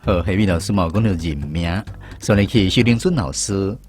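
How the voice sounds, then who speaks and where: A man's voice: deep voice, fairly quick, a little mellow. A man with a Taiwanese accent presenting a radio programme as its host, reading scripted segments and credits. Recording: music playing behind the voice. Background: background music.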